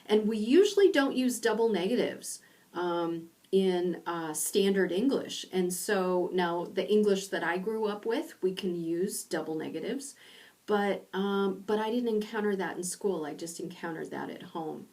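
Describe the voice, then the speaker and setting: A middle-aged woman from rural Indiana giving a recorded online lecture from her home study, explaining slowly with pauses. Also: mid-range voice, a little mellow, recorded from a distance. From rural Indiana, USA. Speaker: university lecturer.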